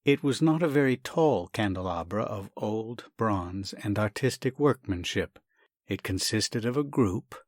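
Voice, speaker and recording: A man reading a classic comic short story as scripted narration, in a measured, wry, theatrical way. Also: fairly low pitch, lively intonation, dry recording, studio quality. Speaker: story reader, actor.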